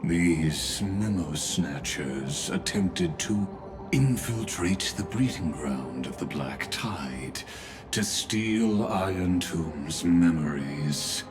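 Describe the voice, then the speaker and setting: A sinister scholar from a fantasy game with an extremely low voice. He speaks very slowly, with theatrical courtesy and amused condescension, savoring his eloquent phrasing. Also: expressive, music in the background, full character voice. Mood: grandiose, ominous. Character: sinister, eloquent, amused male scholar-schemer of a doomed world. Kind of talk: English game dub voice acting.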